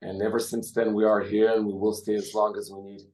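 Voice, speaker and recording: Deep, flat-voiced Israeli man, off mic. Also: far from the mic, poor audio. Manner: halting, with many fillers. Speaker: reserve soldier guest. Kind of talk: remote interview answer.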